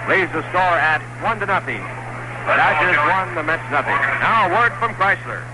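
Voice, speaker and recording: A man calls a baseball game live on the radio, his voice sitting a little high as he moves steadily from pitch to pitch and fills the gaps with statistics. The broadcast comes through an old 1960s AM recording with a clear layer of hiss underneath. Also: expressive, moderate pace. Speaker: baseball radio play-by-play announcer. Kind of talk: live radio sports commentary.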